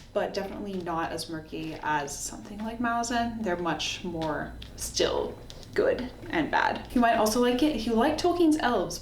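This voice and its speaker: A woman hosting a casual book review video, talking in a conversational, explanatory way. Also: mid-range voice. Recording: echoey room with faint background noise.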